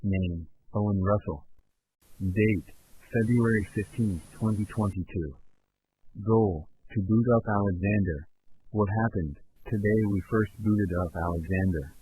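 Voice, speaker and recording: A man's voice: fairly low pitch, measured pace, lightly acted. A man playing a male researcher who dictates formal test logs for an audio fiction in clipped, report-like phrases. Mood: formal, matter-of-fact. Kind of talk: scripted audio log, found-recording fiction. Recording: clear background hiss and hum.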